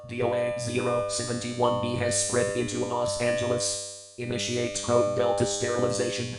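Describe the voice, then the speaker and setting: A man's voice: mid-range voice, completely flat delivery, moderate pace, very echoey, big-room sound, over loud music, close to an everyday voice, low-quality recording. A man playing a stern announcer reading a scripted emergency alert. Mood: grave. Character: stern male emergency announcer over public address.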